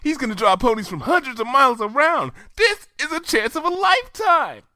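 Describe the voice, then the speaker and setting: A man's voice: extremely high pitch, sing-song, fairly quick, dry recording, clean studio sound, pitched above the performer's own voice, heavily acted. Man playing a brash, cocky tomboy pony mare. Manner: cocky, loud, impatient.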